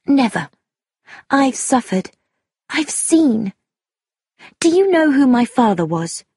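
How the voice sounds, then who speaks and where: A woman's voice: on the high side, darkish tone, breathy edge, no echo, studio quality, voice pushed up, full character voice. A woman voicing an angry, bitter young woman in an audiobook, emphatic and defiant. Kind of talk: audiobook character dialogue.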